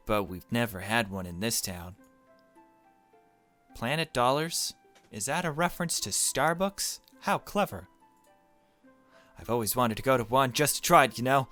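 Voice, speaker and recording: A man's voice: lowish voice, fairly bright tone. A man reading a visual novel aloud as a gaming video host, dropping in casual asides of his own. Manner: casual self-commentary between read passages.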